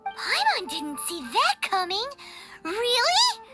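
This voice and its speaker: A woman voicing a small, chatty female companion creature in a video game, with a squeaky, childlike voice and blunt, sarcastic quips. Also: wildly swooping pitch, takes it slowly, heavily acted. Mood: quipping. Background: game music.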